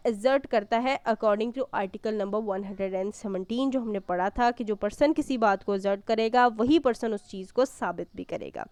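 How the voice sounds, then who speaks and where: A woman's voice: high voice, clear, resonant tone, no echo. A woman giving an explanatory law lesson, didactic, rephrasing points and mixing in English terms. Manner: didactic, repeats and rephrases points, poses questions and answers them; mixes in English legal terms. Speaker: law lecturer.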